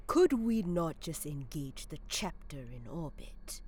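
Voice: medium pitch, sing-song, slow, darkish tone, breathy edge, heavily acted. A performer voicing a cool, calculating traitor psyker who speaks in a precise way. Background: music.

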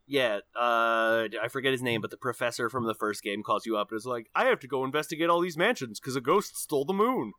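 Bright, sharp-voiced man chatting about games. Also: medium pitch, lively intonation, a little fast, hardly any pauses, dry recording. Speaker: podcast co-host. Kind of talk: casual gaming podcast chat.

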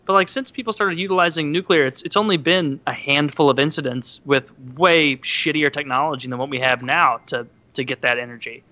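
A man's voice: fairly high pitch, a little fast, hardly any pauses, pushed and bright, lo-fi sound. A man in casual chat over a video call, blunt and swearing.

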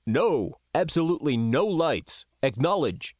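Man voicing a calm, terse, calculating commander. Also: medium pitch, dry recording, studio quality, close to an everyday voice. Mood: sharp, commanding. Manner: measured, authoritative, terse.

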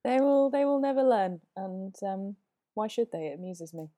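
A woman's voice: medium pitch, animated, normal speed, mellow. A woman joining a podcast remotely as a guest, stuffed up with a cold and talking with dry wit. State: has a cold. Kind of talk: casual podcast chat. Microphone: remote call.

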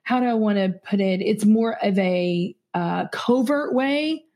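A woman's voice: mid-range voice, measured pace, mellow. A woman executive talking as an interview guest in an earnest, hesitant way with many fillers. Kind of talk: casual interview chat.

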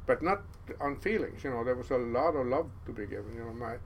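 A man in his seventies with a Norwegian accent, telling stories as an interview guest in a halting way, with many restarts. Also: mid-range voice, animated, slight background noise. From Norway. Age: 70s. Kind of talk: casual storytelling interview.

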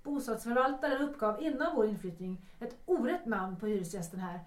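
A woman's voice: fairly high pitch, expressive, far from the mic, lightly acted. A woman acting an anxious, evasive actress in her thirties in a period radio drama, hesitant, defensive and formal. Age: 30s. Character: anxious, evasive, wounded actress of 33, former wife. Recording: echoey, off-mic.

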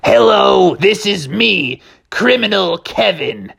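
A man's voice: sits high, big pitch swings, slow pace, gravelly, fairly bright tone, breathy edge, pitched above the performer's own voice, exaggerated voice. A man voicing a cheerful, dim, boastful small-time crook with a folksy, chatty manner, in a children's story. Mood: cheerfully boastful. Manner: folksy, chatty, tongue-tied.

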